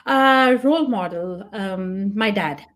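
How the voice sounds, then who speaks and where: A woman's voice: medium pitch, takes it slowly, fairly bright tone. A woman whose first language is Punjabi, speaking as a podcast guest in an earnest, reflective way, thinking aloud. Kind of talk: casual interview talk.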